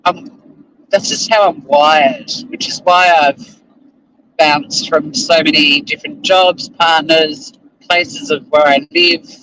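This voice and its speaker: A man's voice: fairly high pitch, slow pace, bright, forceful tone, a little hiss or hum, poor audio. A middle-aged Australian man vlogging casually from inside his car as he drives, rambling and self-deprecating, with casual swearing. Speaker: vlogger and lawyer. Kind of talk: casual chat to camera while driving. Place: inside a car while driving.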